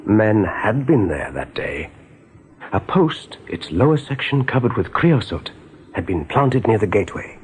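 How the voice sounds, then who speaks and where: A man giving scripted narration in a radio drama, measured and dramatic. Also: on the low side, sing-song. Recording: noisy old radio play recording.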